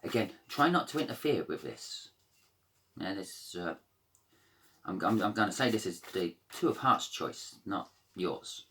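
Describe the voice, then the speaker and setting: Deep-voiced, croaky man reading tarot. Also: big pitch swings, a little slow, noisy background. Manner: rambling, hesitant, many fillers and restarts. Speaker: tarot reader. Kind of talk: casual tarot card reading.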